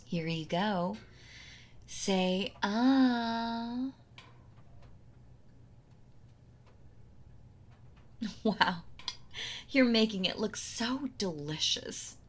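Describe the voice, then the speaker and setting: A woman's voice: medium pitch, animated, a little hiss or hum. A woman playing a possessive, sweetly cruel yandere wife in an intimate roleplay audio drama, gloating and mock-tender as she toys with her captive. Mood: mock-tender, controlling. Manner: slow taunting delivery, breathy soft laughs, mock-tender.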